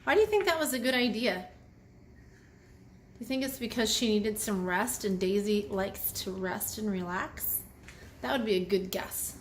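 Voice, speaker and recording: A woman's voice: medium pitch, lively intonation, normal speed, slight background noise. A woman reading a children's story aloud expressively, with asides and questions to young listeners. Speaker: children's story reader and host.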